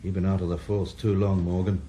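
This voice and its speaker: An actor playing a blunt, cynical middle-aged police inspector in a radio drama. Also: male voice, low-pitched, little pitch movement, fairly quick, mellow, a little hiss or hum, lightly acted. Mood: hard. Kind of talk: 1980s radio drama acting.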